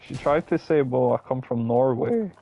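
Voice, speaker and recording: A man's voice: mid-range voice, sing-song, normal speed, vocal fry, highs cut off, slight background noise. A young man with a Scottish accent chatting casually and telling rambling, sweary stories while he plays a game. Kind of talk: casual chat and storytelling while gaming.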